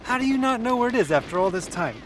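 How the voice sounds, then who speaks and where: A man's voice: very high voice, expressive, fast, a little hiss or hum. An actor playing a competitive, sarcastic young gamer who teases his friends in an audio drama. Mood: teasing. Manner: casual, teasing.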